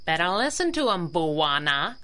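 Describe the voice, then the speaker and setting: Sassy, bright young heroine. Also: female voice, fairly low pitch, sing-song, moderate pace. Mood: mocking. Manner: glib, taunting. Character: sassy, fearless young woman sidekick held captive. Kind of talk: 1930s-style radio drama acting.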